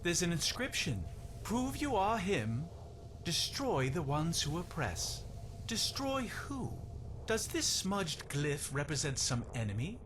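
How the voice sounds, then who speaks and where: An actor playing a haughty, greedy relic dealer in a video game, speaking in a pompous, theatrical, disdainful way. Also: male voice. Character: haughty, greedy male relic dealer scornful of local culture. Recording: noisy game sound, loud rumbling ambience.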